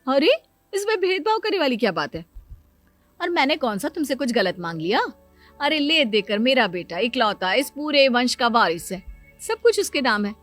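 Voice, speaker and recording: Woman acting a high-pitched bossy cartoon bhabhi, sharp-tongued and possessive, music underneath. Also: big pitch swings, normal speed, no echo. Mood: indignant, scornful. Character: scheming, possessive elder sister-in-law and mother. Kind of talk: cartoon moral-story acting.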